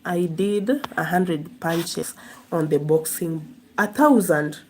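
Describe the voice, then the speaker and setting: A woman's voice: fairly low pitch, takes it slowly, breathy edge. A woman from Kenya, hosting a casual live stream, chatting and laughing as she answers viewers' comments.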